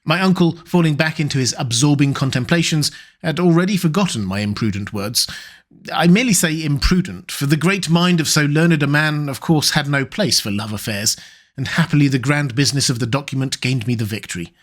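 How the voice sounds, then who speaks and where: A man narrating a classic novel as an audiobook in a measured, even reading. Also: on the high side.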